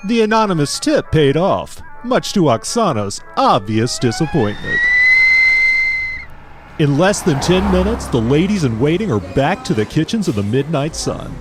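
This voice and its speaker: An actor playing a wry, dramatic reality-show narrator, reading scripted narration in an audio drama. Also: male voice, fairly high pitch, wildly swooping pitch, moderate pace, music in the background.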